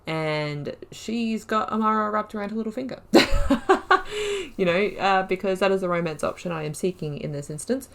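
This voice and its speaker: A woman's voice: medium pitch, moderate pace, a bit bright. A woman giving chatty let's-play commentary, thinking aloud with many fillers. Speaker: gaming YouTuber.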